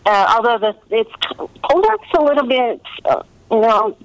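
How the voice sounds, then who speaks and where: A woman's voice: on the high side, sing-song, moderate pace, dry recording, rough recording. A woman chatting casually as an author being interviewed, gracious and enthusiastic in the way she talks.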